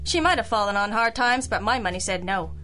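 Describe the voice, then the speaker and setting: An actress playing a sharp-witted, sardonic female detective in an old-time radio noir, dry, teasing and cool. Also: mid-range voice, lively intonation, a little fast, faint music behind. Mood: shrewd, cool.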